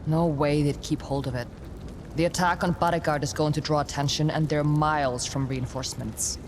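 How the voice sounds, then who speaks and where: A woman's voice: sits low, fairly even intonation, moderate pace, background noise, natural voice. Wry, determined adventurer heroine played by a woman. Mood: firm. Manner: wry, blunt, down-to-earth. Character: practical, dry-witted adventurer heroine, focused and determined. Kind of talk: dramatic video game dialogue. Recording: prominent music behind.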